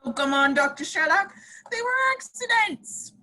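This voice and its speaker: A woman's voice: high voice, lively intonation, moderate pace, bright, forceful tone, slight background noise, rough recording. A woman voicing a sarcastic, teasing male village detective at a video call table read. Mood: mocking.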